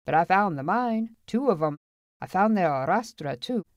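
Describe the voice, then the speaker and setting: A woman's voice: lowish voice, expressive, moderate pace, dry recording, studio quality, voice pushed up, lightly acted. Woman acting a big, boastful gold prospector. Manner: folksy frontier talk.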